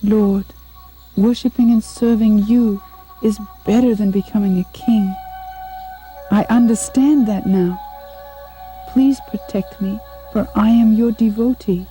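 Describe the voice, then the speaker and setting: A woman playing a humble, devout boy prince in a devotional puppet show, speaking slowly and earnestly in a soft, breathy voice. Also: mid-range voice, steady tone, faint music behind, lightly acted, poor audio. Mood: reverent. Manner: slow, solemn, earnest recitation.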